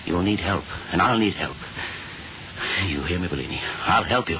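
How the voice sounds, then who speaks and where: A man's voice: low-pitched, animated, moderate pace. An actor playing a fervent, vengeful henchman hungry for power, in intense old-time radio style. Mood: pleading, fervent. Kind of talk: 1940s radio acting. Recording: muffled, noisy old radio broadcast.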